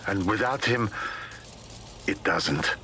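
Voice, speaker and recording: A man playing an anguished, guilt-ridden son leaving a halting, sombre confession in a video game. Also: lowish voice, bright, forceful tone, slight background noise. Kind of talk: game cutscene audio message.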